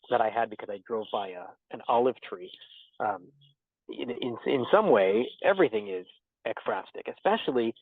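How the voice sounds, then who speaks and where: A man answering interview questions thoughtfully about poetry and teaching, pausing often with fillers and restarts. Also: medium pitch, no room sound. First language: English. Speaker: interviewed poet and theology teacher. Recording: tinny dry phone-like line.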